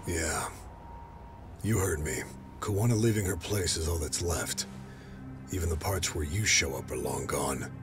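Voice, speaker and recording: World-weary cop, low gruff voice, blunt and sardonic, game drama dub, loud music underneath. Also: male voice, a little slow, long pauses. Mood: weary, serious. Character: jaded, gruff middle-aged police detective, profane and weary.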